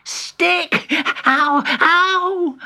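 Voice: very high voice, extremely slow, bright, forceful tone, voice pushed up, exaggerated voice. A storyteller voicing a lazy, reluctant young male animal in a grumbling, complaining manner, for a children's story. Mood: alarmed.

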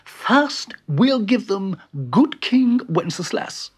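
A storyteller voicing a bossy, excitable young male animal in an eager, exclamatory manner, for a children's story. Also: medium pitch, slow pace, breathy edge, pitched above the performer's own voice.

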